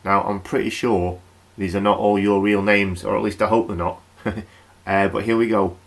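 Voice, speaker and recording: Man acting local radio host, male, lowish voice, chatty, wry, informal late-night patter. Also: level tone, normal speed, gravelly. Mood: jovial.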